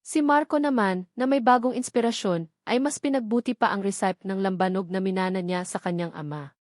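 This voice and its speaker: A Filipino woman narrates a dramatic love story in a measured, expressive way. Her pitch sits in a middle female range. The recording is close and dry, with hardly any room sound. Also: dry recording.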